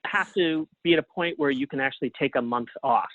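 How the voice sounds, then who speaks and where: High-pitched man on a phone-like line. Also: quick pace. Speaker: playwright, interview guest. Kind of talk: casual conversational interview.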